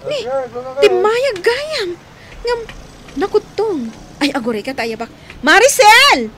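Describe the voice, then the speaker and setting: A woman playing a caring, chatty wife in a radio drama, conversational and expressive, with an Ilocano accent. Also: very high voice, takes it slowly, a loud crowd, exaggerated voice. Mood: cheerful, welcoming. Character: caring, chatty wife and older sister-in-law.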